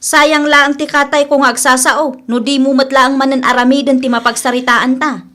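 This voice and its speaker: A woman's voice: high voice, level tone, moderate pace, sharp tone. An actress playing a frank, chiding female friend who gives advice in a radio drama, matter-of-fact in manner. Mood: chiding, knowing.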